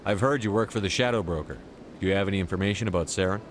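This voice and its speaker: A man's voice: low-pitched, very fast, a little hiss or hum, natural voice. A man playing a blunt, skeptical human soldier hero in a video game, speaking in direct, terse lines. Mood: probing. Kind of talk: video game dialogue acting. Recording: faint music behind the voice.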